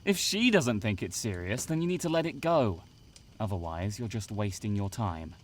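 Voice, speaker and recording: 남자가 연기하는 까칠하고 무시하는 말투의 젊은 남성 상담원. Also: male voice, fairly low pitch, lively intonation, moderate pace. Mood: dismissive. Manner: snide, sighing, condescending. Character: dismissive, grumpy young male camp counselor. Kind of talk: audio drama acting.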